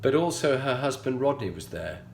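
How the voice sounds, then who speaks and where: A middle-aged man with a Yorkshire accent, telling a personal story as a show host in an earnest, measured way with frequent pauses. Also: mid-range voice, big pitch swings, vocal fry, a little hiss or hum. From England. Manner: earnest, measured, pausing often. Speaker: leadership coach and show host. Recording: echoey room.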